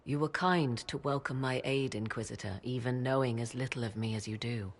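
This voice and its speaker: A grave, husky mage mother. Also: rumbling low. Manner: measured, formal, archaic speech. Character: mysterious aloof mage mother, grave and secretive. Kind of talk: video game cutscene dialogue.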